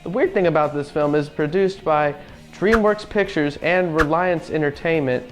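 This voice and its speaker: A man's voice: a little high, normal speed, rough recording. A man co-hosting a gaming show, giving casual, opinionated film commentary in a slangy, ranting way. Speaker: co-host of a gaming review show. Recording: music playing under the voice. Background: music.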